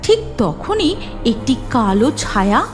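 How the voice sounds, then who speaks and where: A woman narrates a storybook in an expressive, sing-song way. Her voice sits high and swings widely. Music plays clearly behind her. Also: unhurried. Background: background music.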